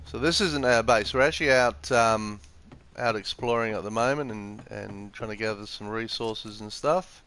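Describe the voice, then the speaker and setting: A man's voice: lowish voice, normal speed, hardly any pauses, fairly bright tone. Congested man casually streaming a game. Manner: hesitant, unscripted, explanatory. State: congested with flu. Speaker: gaming live streamer. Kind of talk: casual live game commentary. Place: room next to an office, windows open. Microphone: PS4 gaming headset microphone.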